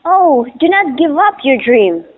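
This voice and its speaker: A woman's voice: high-pitched, big pitch swings, measured pace. A woman playing a devoted young daughter in a poetic audio drama, declaiming solemnly and lyrically. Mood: shy, hopeful. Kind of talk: stage-style audio drama acting. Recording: tinny phone-like sound, music behind.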